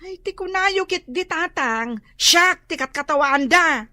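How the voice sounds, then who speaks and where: A woman's voice: very high-pitched, lively intonation, a little slow, sharp tone, no echo, clean studio sound, exaggerated voice. A woman acting an aggrieved, gossipy village daughter in an Ilocano radio comedy drama, with an Ilocano accent and exclamatory delivery.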